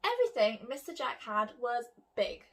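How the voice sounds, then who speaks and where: A woman's voice: a little high, sing-song, normal speed. A woman reading a picture book aloud to children like a teacher, expressively and with questions to her young listeners.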